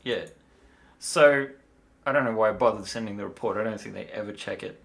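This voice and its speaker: A man's voice: on the low side, fairly quick, vocal fry, fairly bright tone. A tired man talking casually and in a rambling way as he hosts a livestream demo. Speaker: livestream host, miniature designer.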